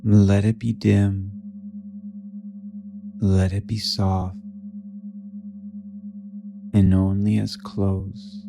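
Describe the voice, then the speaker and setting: A man narrating a guided meditation in a gentle, soothing manner with short pauses between phrases. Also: fairly low pitch, monotone, creaky, music in the background.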